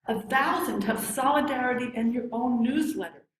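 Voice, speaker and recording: A woman reading a poem aloud at a service in a measured, deliberate delivery. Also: fairly high pitch, slight background noise. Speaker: poetry reader at a service. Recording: echoey room.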